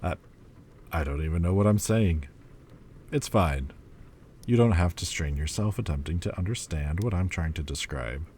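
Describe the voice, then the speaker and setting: A man voicing an ancient male demon lord, quietly confessing in a slow, halting way in an audio roleplay. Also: low-pitched, slight background noise, lightly acted. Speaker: voice actor.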